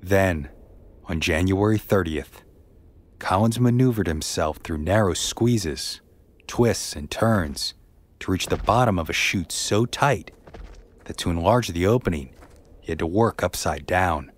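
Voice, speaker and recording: A man narrating a scripted true story as a podcast host, in a measured, somber way. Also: low-pitched, animated.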